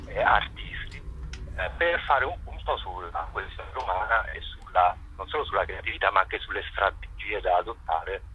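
A man's voice: fairly low pitch, pushed and bright, slight background noise, poor audio. A man answering interview questions as a festival director, explaining at length in a hesitant, discursive way.

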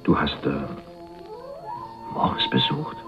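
A man's voice: takes it slowly, muffled recording, soft background music, lightly acted. An actor playing a wary young man with a dry, ironic, guarded manner in a radio crime drama. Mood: dry irony. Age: young adult. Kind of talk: 1980s German radio crime drama acting.

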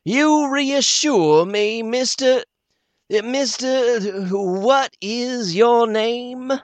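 A man voicing a cool, ceremonious doctor who mocks his accusers with slow, drawn-out politeness. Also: extremely high pitch, no room sound, pitched above the performer's own voice, heavily acted. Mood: mocking.

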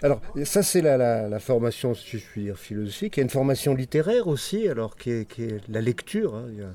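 A man interviewing an author, asking his questions in a halting way while he searches for words. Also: medium pitch, big pitch swings, mellow. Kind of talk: literary interview.

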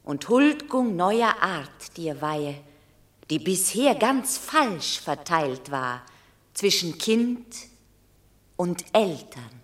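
A woman's voice: fairly low pitch, fairly bright tone, heavily acted. An actress playing a noble Roman mother in a classical verse radio drama, grave, formal and imploring but unyielding. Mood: solemn pleading.

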